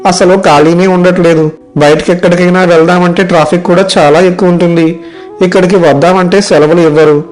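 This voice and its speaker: A man playing a weary, worried young software worker and dutiful son in a story dialogue, plaintive and complaining. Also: high voice, steady tone, fairly quick, natural voice, low-quality recording. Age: young adult. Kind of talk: animated moral story dialogue.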